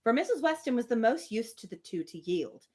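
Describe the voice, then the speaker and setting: Woman streaming a book read-aloud, chatty, pitch moves around loads. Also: very animated, brisk, warm tone. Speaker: live-stream reader. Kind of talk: casual chat and expressive novel reading aloud.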